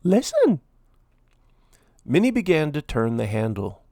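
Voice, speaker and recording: A man reading a children's story aloud in an expressive way, with deliberate, dramatic emphasis. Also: medium pitch, vocal fry, no echo, studio quality. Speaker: storybook reader, host.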